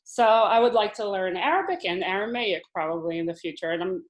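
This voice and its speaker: A woman in her thirties, a language teacher, speaks about her life in an easy, chatty way and laughs easily. Her voice sits at a middle pitch. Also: lively intonation, brisk, low-quality recording. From Israel. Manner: chatty, laughs often, with restarts. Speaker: Hebrew teacher, guest. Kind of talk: casual conversational interview.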